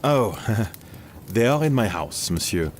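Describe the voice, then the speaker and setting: A man's voice: fairly low pitch, sing-song, takes it slowly, a little hiss or hum. An actor playing a respectful, plain-spoken male night guard in an audio drama. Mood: earnest. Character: deferential, earnest male night guard, sure of himself.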